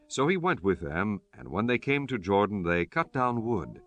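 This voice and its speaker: A man narrating dramatized scripture in a solemn, measured storytelling manner. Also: fairly low pitch, very animated, highs cut off. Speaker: audiobook narrator. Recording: music bed under the voice. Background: music.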